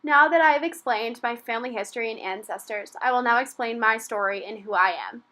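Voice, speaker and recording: A young woman from Rhode Island narrates a school presentation. She reads from a prepared script in a steady, careful way. Her voice is bright and sits a little high. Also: fairly quick. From Rhode Island, USA. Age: young adult. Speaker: university student.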